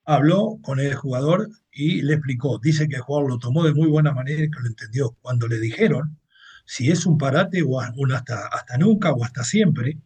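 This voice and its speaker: Husky Mexican man hosting football talk. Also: medium pitch, a little mellow. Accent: Mexican Spanish. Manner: chatty, opinionated, translating clips. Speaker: football show host. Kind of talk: casual sports commentary monologue.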